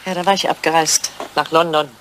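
A woman's voice: sits low, fairly even intonation, fairly quick, fairly bright tone, slight background noise, low-quality recording. An older woman acting a poised, evasive widowed English lady in a German radio drama, cool and arch in manner. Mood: frank.

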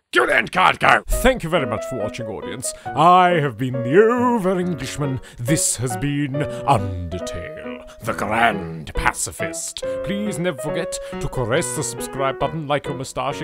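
A man hosting a video game playthrough with theatrical, grandiose showman delivery. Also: fairly high pitch. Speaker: let's play host.

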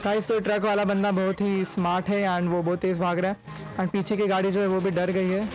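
A young man talks through his gameplay in a high voice. His delivery stays flat and even, chatty and casual, with English words dropped in. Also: brisk, faint music behind, low-quality recording. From India. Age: young adult. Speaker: gaming YouTuber. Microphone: desk microphone close to mouth.

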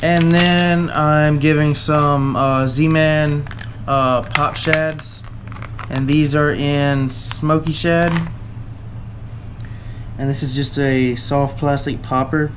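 A young man with a Southern US drawl talks through his tackle in a relaxed, halting way, full of uh and um. His voice sits a little high for a man. A faint hiss runs under the camera-mic recording made indoors. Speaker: fishing channel host. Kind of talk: casual tackle giveaway walkthrough. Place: indoors at a table, camera overhead.

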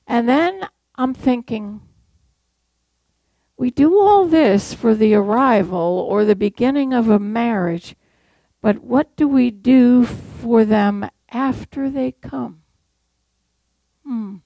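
Older woman, sermon at a church pulpit, gravelly voice, dry close sound. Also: fairly high pitch, slow, pauses often, dark tone, dry recording. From Massachusetts. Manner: conversational storytelling with rhetorical questions. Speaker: preacher.

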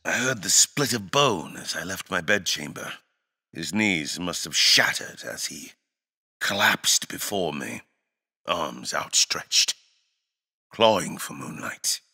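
An actor playing a grave, imperious fantasy king in an audio drama, speaking with formal, deliberate theatrical diction. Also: deep voice, expressive, bright, forceful tone, breathy edge, exaggerated voice. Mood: haunted, intense.

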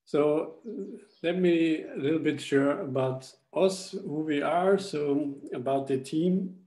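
A young man from Austria presenting his school project in a webinar over a video call, speaking English haltingly with frequent restarts. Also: fairly high pitch, dark tone, low-quality recording. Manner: halting, restarts phrases while explaining slides. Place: indoors at home. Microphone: laptop webcam video call.

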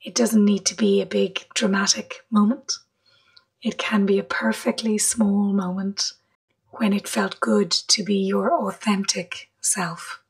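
A woman leading a guided meditation in a soft, breathy voice, gently, with long pauses between short phrases. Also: medium pitch, level tone. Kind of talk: guided meditation, slow and measured.

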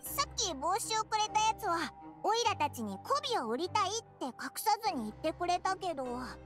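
A woman's voice: big pitch swings, fairly quick, fairly bright tone, full character voice. A woman voicing a tiny, childlike, cheeky, food-loving fairy companion in a Japanese anime game. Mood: doubtful. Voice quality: squeaky, childlike. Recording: studio voice under game music.

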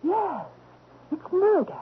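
An actress playing a seductive, taunting supernatural temptress in a radio drama, drawing out insistent questions. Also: female voice, very high voice, big pitch swings, heavily acted. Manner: slow, drawn-out, insistent questioning. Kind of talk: old-time radio drama acting. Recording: tinny, noisy old radio broadcast.

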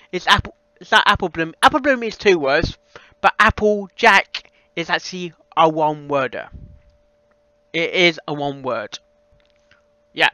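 A young man with a bright, clean voice gives a casual critique. He speaks haltingly and circles back over his own phrases. The recording is close and dry, though a little dull. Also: on the high side, no echo. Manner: halting, repeats himself. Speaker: reaction video host reviewing a fan audio reading. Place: small room at a computer, indoors.

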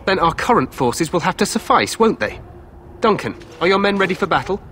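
A man's voice: high voice, sing-song, brisk, fairly bright tone, slight background noise. An actor playing an eager, self-assured young warrior king in a fantasy game, regal and declamatory. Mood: commanding. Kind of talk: dramatic fantasy game acting.

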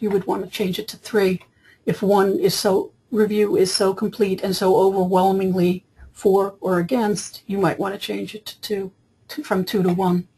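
A woman with a markedly husky, rough-edged voice talks through a software tutorial. She explains each step as a trainer would, in loosely scripted narration at a medium pitch. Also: low-quality recording. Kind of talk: screen-capture tutorial narration, semi-improvised. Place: voice-over for screen recording.

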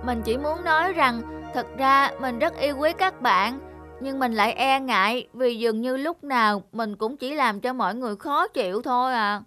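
Woman voicing a high-pitched, shy schoolboy, music behind. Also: moderate pace, lightly acted. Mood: apologetic. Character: shy, self-doubting schoolboy longing for friends. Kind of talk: cartoon dialogue.

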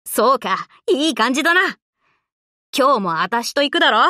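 An actress voicing a brash, cocky but loyal young tomboy warship girl in an anime game, with rough, boyish, casual speech. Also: sits high, big pitch swings, moderate pace, bright, forceful tone, dry recording, clean studio sound, exaggerated voice. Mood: breezy, confident. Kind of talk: Japanese anime game character voice lines.

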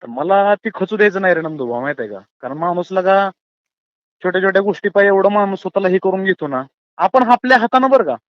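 A dull, lo-fi phone recording carries a man with a rural Maharashtrian accent, talking very fast. His voice sits fairly high and close, as he reasons earnestly and colloquially with his listener. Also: lively intonation, dry recording. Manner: colloquial, earnest, philosophising, often addresses listener as brother. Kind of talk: casual phone chat, opinionated monologue. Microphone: phone call, close and dry.

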